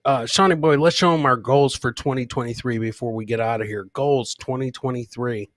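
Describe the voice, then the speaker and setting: A man's voice: medium pitch, fairly even intonation, fairly quick, noisy background. Casual, rambling man hosting live comedy. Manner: informal, rambling, addresses viewers as guys and man. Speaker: live comedy podcast host. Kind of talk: casual live-stream chat, riffing on clips and reading viewer comments.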